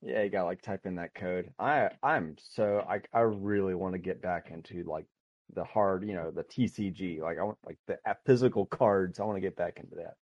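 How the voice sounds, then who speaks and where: A man guesting on a podcast over an internet call, soft-spoken and hesitant, often laughing. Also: low-pitched, brisk, no echo. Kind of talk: casual chat. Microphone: headset over internet call. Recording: dry, dull lo-fi internet call audio.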